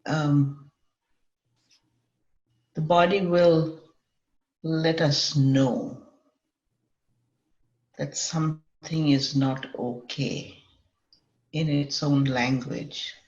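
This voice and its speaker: Female meditation guide, measured and unhurried with long mid-sentence pauses, slightly dull lo-fi sound in a roomy space. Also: low voice, fairly even intonation, a little mellow. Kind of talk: guided meditation, semi-improvised. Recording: dull lo-fi, echoey room.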